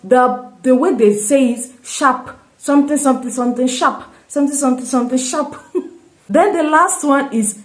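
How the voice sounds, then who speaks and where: Chatty high-voiced Nigerian woman. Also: normal speed. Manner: chatty and rambling, often restarts and laughs. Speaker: vlogger. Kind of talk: casual vlog chat to camera.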